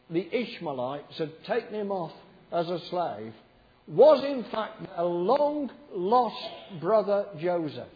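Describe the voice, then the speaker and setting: A middle-aged man preaching in a church, his voice croaky from a cold. He speaks slowly and warmly, joking with the congregation as he goes. The sound is dull and rings with a long hall reverb. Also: high-pitched. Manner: chatty, joking preacher leading the congregation in reading a verse aloud. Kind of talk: sermon.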